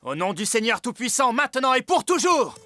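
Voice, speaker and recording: A man voicing a devout, loyal young warrior leader in a dubbed animated Bible drama. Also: very high voice, a little fast, faint music behind, full character voice. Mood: grateful, fervent.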